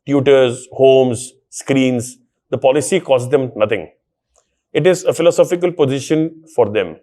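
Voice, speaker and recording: A man's voice: mid-range voice, measured pace, dark tone. A man hosting a panel discussion, setting out background and asking pointed rhetorical questions. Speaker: host and moderator.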